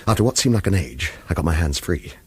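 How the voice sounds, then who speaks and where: Low, husky, wry thriller hero. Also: male voice, animated, fast, no room sound. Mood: wary, puzzled. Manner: terse, dry, sardonic. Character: wry, hard-boiled captive man narrating his own thriller. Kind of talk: scripted first-person radio thriller narration and dialogue.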